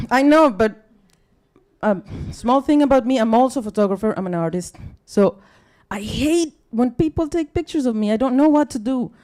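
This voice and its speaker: A young woman from Mexico talks to a live audience in accented English, Spanish being her first tongue. Her voice is mellow and warm, and she sounds tired. She rambles, apologizes often and fills gaps with ums. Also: on the high side, animated, moderate pace. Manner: chatty and apologetic, with many fillers and restarts. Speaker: guest speaker at a science talk night. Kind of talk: casual, self-deprecating live talk. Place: bar or event room with a live audience.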